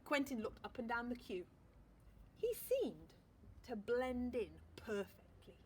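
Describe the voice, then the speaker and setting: A woman teacher reading a picture book aloud, expressive storytelling with dramatic pauses. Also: fairly high pitch, slight background noise. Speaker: primary school teacher.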